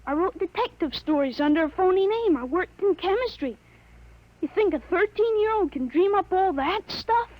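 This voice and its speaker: A woman playing a thirteen-year-old boy with a grown man's mind in a 1950s radio drama, speaking calmly and matter-of-factly on a dull, lo-fi old recording. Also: very high-pitched, normal speed. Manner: calm, matter-of-fact, self-assured.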